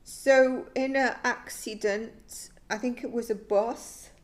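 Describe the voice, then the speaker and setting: A woman's voice: fairly high pitch, steady tone, takes it slowly. A woman giving a psychic tarot reading, thinking aloud in a tentative, musing way that often trails off.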